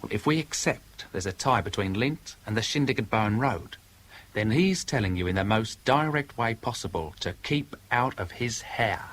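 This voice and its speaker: Low-voiced, wry police inspector. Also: male voice, expressive, moderate pace, fairly bright tone. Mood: brisk, probing. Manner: wry, folksy, methodical questioning. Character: wry, blunt, unsentimental male police inspector. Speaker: actor in a radio drama. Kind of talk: 1970s radio drama dialogue. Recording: old radio drama recording, faint noise.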